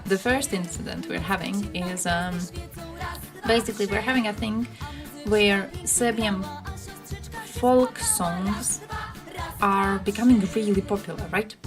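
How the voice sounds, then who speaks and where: A woman's voice: mid-range voice, unhurried, pauses often. A young woman from Croatia, chatting on a livestream in a blunt, sarcastic, swearing manner. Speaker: streamer. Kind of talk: casual livestream chat. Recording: music playing in the background. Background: music playing.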